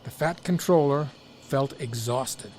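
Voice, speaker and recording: A man narrating a scripted children's story as an expressive storyteller. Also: mid-range voice, slow pace, slight background noise.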